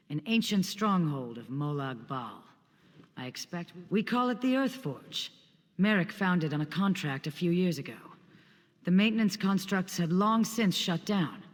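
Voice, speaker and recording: An actor playing an earnest, courteous scholarly adventurer in a fantasy video game, speaking in measured, formal phrases. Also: male voice, high voice, in a large hall. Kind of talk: video game character dialogue.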